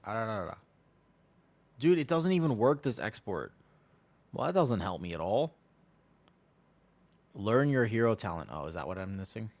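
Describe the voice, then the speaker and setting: A rambling American streamer with a slightly low, relaxed voice. He talks casually to chat while playing, drifting from topic to topic and laughing easily. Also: normal speed, long pauses. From United States.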